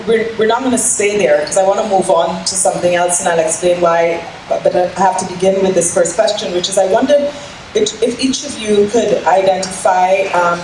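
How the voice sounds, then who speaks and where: A woman moderating a live panel discussion in a discursive way, often circling back to rephrase her question. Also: mid-range voice. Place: indoor public panel event. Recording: echoey room, far mic, noisy.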